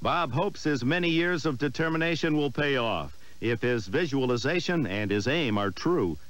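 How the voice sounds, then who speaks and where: A man's voice: medium pitch, lively intonation, normal speed, fairly bright tone, poor audio. A man reading scripted narration for a sports newsreel.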